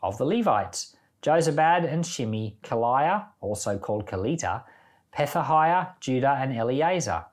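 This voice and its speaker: A man reading scripture aloud for a Bible podcast in a measured, deliberate way, as a pastor would. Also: mid-range voice, big pitch swings.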